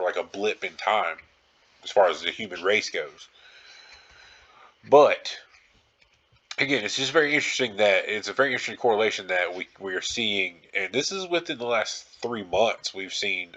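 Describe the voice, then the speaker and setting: A man with a fairly low but forceful, bright voice hosts a casual solo podcast. He rambles and muses, speculating as he goes. The audio is slightly dull and band-limited. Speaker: podcast host.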